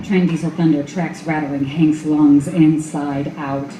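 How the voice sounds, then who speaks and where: A woman's voice: deep voice, steady tone, unhurried, loud music behind the voice. A woman reading dramatic noir narration for a radio play. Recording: echoey room, noisy ambience.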